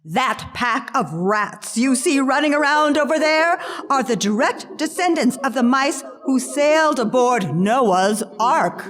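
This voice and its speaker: An actress playing a flattering, grandiose female charlatan presenting a puppet show in a theatrical reading, with grand, deliberate delivery. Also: high voice. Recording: reverberant hall, music underneath.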